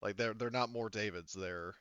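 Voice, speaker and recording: Man, game master, low smooth voice, casual improvised narration, thinking aloud, dry slightly muffled recording. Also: normal speed, fairly bright tone, no room sound. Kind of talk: running a tabletop sci-fi roleplaying game.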